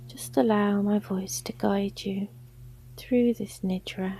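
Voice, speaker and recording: Woman, yoga nidra guide, breathy near-whisper, unhurried, mellow, gongs and singing bowls humming behind. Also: medium pitch, steady tone, slight background noise. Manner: soothing, hushed, gentle. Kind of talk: guided sleep meditation.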